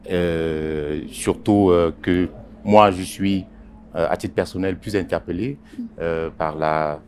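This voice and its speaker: A man, a journalist, answering interview questions in a halting way with many pauses and repeated words. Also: low voice, fairly even intonation, a little mellow, a little hiss or hum. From Congo Basin. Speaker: journalist and editorial writer.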